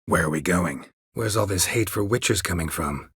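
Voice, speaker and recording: An actor playing a stoic, world-weary monster hunter in a video game, with a gravelly, husky voice and terse, dry, deadpan delivery. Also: male voice, low-pitched, moderate pace, no room sound, heavily acted. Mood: guarded, probing.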